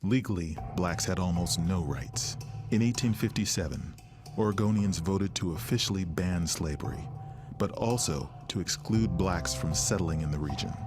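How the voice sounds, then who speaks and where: A man narrates a documentary in a very low-pitched voice, with music playing clearly behind him. The delivery is scripted and orderly, that of a storyteller guiding a film. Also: moderate pace. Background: background music.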